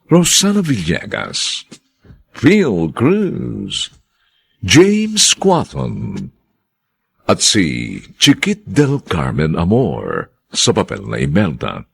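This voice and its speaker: A man's voice: on the low side, gravelly, husky. A man with a Filipino accent narrating a radio drama and reading its credits in a dramatic scripted style.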